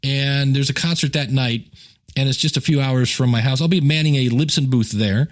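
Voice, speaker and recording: A man with an average-pitched voice hosts a solo podcast. He talks casually, like a chatty teacher making announcements and plugs. He wanders into joking asides and pokes fun at himself. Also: moderate pace. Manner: chatty, joking, self-deprecating, rambling asides.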